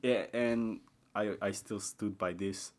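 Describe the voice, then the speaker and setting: A young man in casual podcast chat. He rambles and restarts often, leaning on fillers. His pitch swings widely, bursting up when excited and sinking to a near mumble when he turns thoughtful. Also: slow. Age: young adult. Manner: rambling, repeats himself, often says like and uh; swings between excited high pitch and quiet low mumbling. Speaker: podcast guest.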